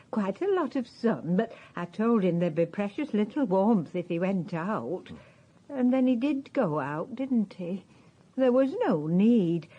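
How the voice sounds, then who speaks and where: An actress playing a gentle, forgetful, anxious elderly woman in a radio crime drama. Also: medium pitch, breathy edge, dull audio, heavily acted. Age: older.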